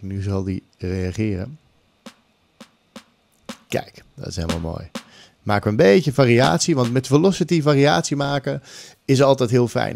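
Man's creaky, dry, close-miked tutorial voice. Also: mid-range voice, dark tone, dry recording. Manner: informal, mixes English phrases into speech. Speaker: music production tutor. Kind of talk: casual screen-recorded tutorial. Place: small room at home. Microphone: large condenser mic on a boom arm, close up. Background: music loops.

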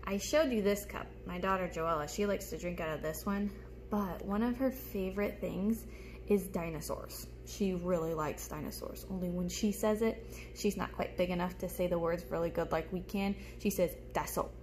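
A woman's voice: lowish voice, normal speed, darkish tone, slight background noise. A young woman teacher giving a show-and-tell lesson to small children, explaining things simply and expressively. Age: young adult. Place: small room at home, indoors. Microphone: phone held close in front.

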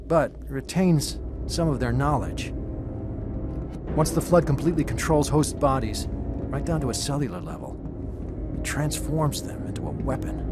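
Man acting as a defensive military scientist, higher-pitched, swooping intonation, intense, clipped, motion comic drama, music behind. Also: lightly acted. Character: desperate, defensive male military research scientist, self-justifying.

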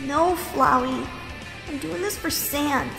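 A woman voicing a kind, determined human child in a dramatic comic dub, earnest and gentle in delivery. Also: high voice, takes it slowly, vocal fry, heavily acted. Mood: earnest, pleading. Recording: echoey reverb, guitar music behind.

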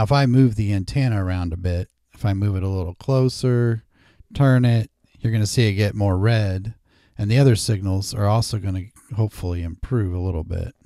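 A man hosting a casual screen-recorded software tutorial, explaining each step informally. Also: on the low side, no room sound, studio quality. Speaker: tutorial host. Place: indoors at a desk.

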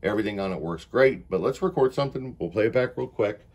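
A man's voice: lowish voice, expressive, quick pace, darkish tone. A man giving a casual product demonstration as he shows off equipment indoors. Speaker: demonstrator of a reel-to-reel tape deck. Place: indoors, in a wood-panelled room.

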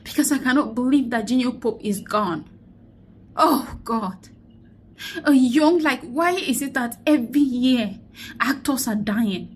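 A young woman with a high voice records herself on a phone held close, indoors. She mourns and exhorts by turns, saying phrases again and again as if she were preaching a sermon. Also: animated, measured pace, a little hiss or hum. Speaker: vlogger. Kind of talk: emotional selfie vlog, preachy. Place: indoors, small room. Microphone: phone held close to face.

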